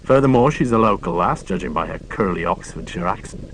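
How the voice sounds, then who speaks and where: A man's voice: fairly low pitch, expressive, brisk, slight background noise. A man voicing a clever, tetchy middle-aged police inspector who talks in a dry, superior way.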